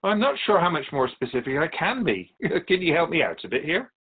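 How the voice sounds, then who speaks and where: A man playing a patient, wise, otherworldly Keeper of Souls who teaches in a measured, philosophical way in a radio drama. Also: fairly high pitch, very animated, breathy edge, phone-quality sound. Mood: gently amused. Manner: measured, philosophical, gently instructive. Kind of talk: scripted radio drama dialogue.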